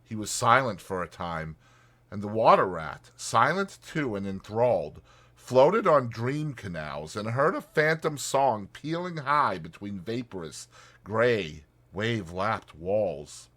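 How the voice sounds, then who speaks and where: A man's voice: lowish voice, very animated, takes it slowly, pushed and bright. A man reading scripted, dramatized narration as an audiobook narrator.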